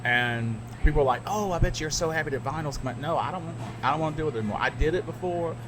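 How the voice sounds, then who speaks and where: A middle-aged man, a radio DJ, chatting casually and telling stories with animated asides. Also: a little high, quick pace. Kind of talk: casual podcast chat. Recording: noisy background.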